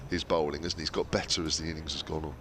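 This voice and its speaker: Deep-voiced commentator man with a cold. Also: level tone, rapid-fire, a bit bright, a little hiss or hum. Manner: chatty, many "uh" fillers, asks tag questions. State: has a cold, losing his voice. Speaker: radio cricket commentator. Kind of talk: radio cricket commentary and chat.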